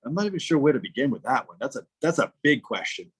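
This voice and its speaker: A man from southern Massachusetts with a South Shore accent, telling stories casually on a podcast. His voice is husky and mid-pitched. He slips into other people's voices when he quotes them. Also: fast. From southern Massachusetts, USA. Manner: animated storyteller acting out quoted voices. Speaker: podcast guest. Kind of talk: casual storytelling interview.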